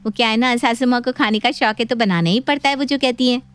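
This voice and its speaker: Woman playing a high-voiced dutiful young daughter-in-law. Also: fast, a bit bright, smooth, natural voice. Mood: dutiful, polite. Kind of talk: animated Hindi moral-story cartoon.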